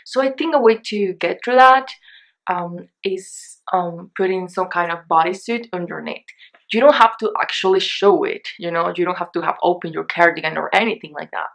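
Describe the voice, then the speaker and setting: A young Mexican woman talks through her shopping haul in English, which is not her first language, and her Mexican accent carries through. Her voice sits a little low for a woman. She rambles along casually, stopping often for fillers and restarts. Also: moderate pace, vocal fry, fairly bright tone. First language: Spanish. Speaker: beauty vlogger.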